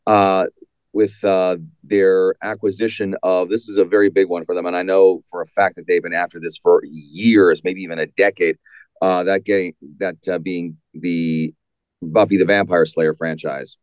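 A man giving a measured, explanatory answer as an interview guest, repeating points for emphasis. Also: sits low, level tone, a little mellow, no room sound. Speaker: audio drama writer and interview guest.

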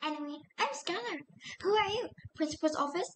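A woman voicing a loud, eager, chatty schoolgirl animal in a cartoon audition. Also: very high voice, sing-song, unhurried, a little hiss or hum, pitched above the performer's own voice, exaggerated voice, rough recording. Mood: bubbly, eager. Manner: gushing, eager, exclaiming. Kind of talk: character audition lines.

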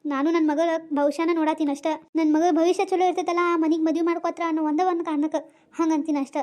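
A fretful mother, acted by a woman with a North Karnataka Kannada accent talks in very high, rapid bursts. She coaxes her daughter and is quick to defend herself in a family drama skit. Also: level tone, a little mellow. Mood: defensive. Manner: coaxing, defensive, rapid scolding.

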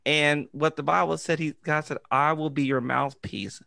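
A man's voice: on the high side, normal speed, gravelly, no room sound. A middle-aged man, an educator co-hosting a podcast, telling an encouraging story in an earnest, conversational way from a small studio mic. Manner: earnest, encouraging, animated hand gestures. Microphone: studio mic on boom arm with headphones.

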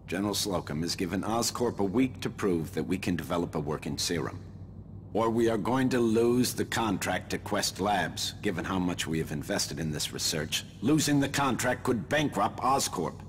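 Deep-voiced, stern corporate boss. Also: male voice, animated, moderate pace, slight background noise. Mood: grave, demanding. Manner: grave, commanding. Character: stern male corporate boss, driven and calculating. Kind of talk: video game cutscene acting.

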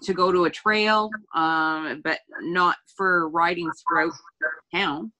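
Firm, bright-voiced woman councillor. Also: lowish voice, steady tone, slow, low-quality recording. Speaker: town councillor. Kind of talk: informal meeting argument. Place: remote video call from a room. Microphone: video call.

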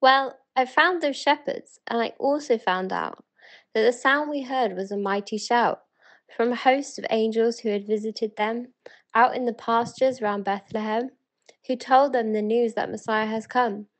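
A British woman playing an eager, wonder-struck townswoman in a dramatic verse reading, earnest and excited. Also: a little high, a little slow, close to an everyday voice. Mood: awed, earnest.

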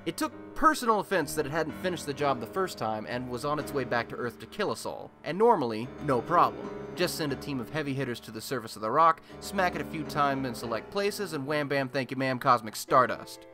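A man's voice: medium pitch, lively intonation, fairly quick, hardly any pauses, music in the background, natural voice. A man playing a chatty, wry radio host in a superhero city, telling scripted comic stories in a colloquial, theatrical way for a radio play. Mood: dramatic, amused storytelling.

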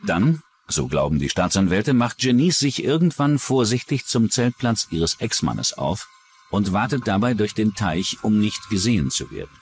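A man narrating a scripted true-crime documentary in a measured, dramatic storytelling manner. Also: lowish voice, very animated, slight background noise.

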